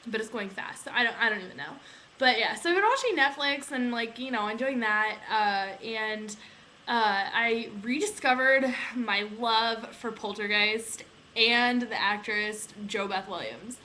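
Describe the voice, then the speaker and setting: Bright-voiced young American woman, rambling casually. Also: fairly high pitch, unhurried, a little hiss or hum. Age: young adult. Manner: informal, rambling, peppered with "like" and "you know". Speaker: vlogger, aviation student. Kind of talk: casual, chatty vlog.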